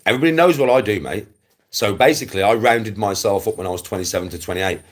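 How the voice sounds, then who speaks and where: A man's voice: on the low side, quick pace. A man in his forties with an English accent, ranting casually on a livestream, bluntly and with plenty of swearing, hammering points home by repeating them. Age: 40s. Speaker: live streamer.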